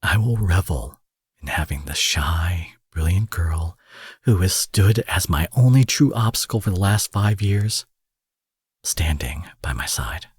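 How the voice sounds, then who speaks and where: A man playing a protective, possessive supervillain in an intimate audio roleplay, husky and theatrical, moving between forceful speech and breathy murmurs. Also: low voice, lively intonation, a little slow, no echo, studio quality, exaggerated voice. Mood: tender, devoted. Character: smooth protective male supervillain courting his captive rival.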